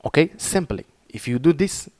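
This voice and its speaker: A man from Morocco with a Moroccan accent, whose first language is Arabic, giving an earnest, halting lesson on trading with many fillers and restarts over his screen. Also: on the high side, expressive, a little mellow, no echo. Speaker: crypto trading teacher. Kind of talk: informal screen-share lesson.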